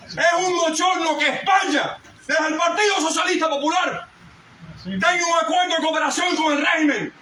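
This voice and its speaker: Middle-aged man from Cuba, fervent orator; bright voice, faint noise behind. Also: very high-pitched, echoey room, distant mic, poor audio. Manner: fervent, rising to shouts. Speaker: speaker at a commemoration. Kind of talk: impassioned patriotic speech. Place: outdoor garden event.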